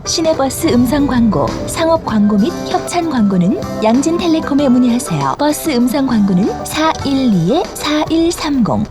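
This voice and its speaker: High, animated woman reading scripted announcements. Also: moderate pace, few pauses, music in the background. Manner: polished, polite, carefully enunciated. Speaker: bus advertisement and safety announcer. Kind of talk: scripted promotional and public announcement.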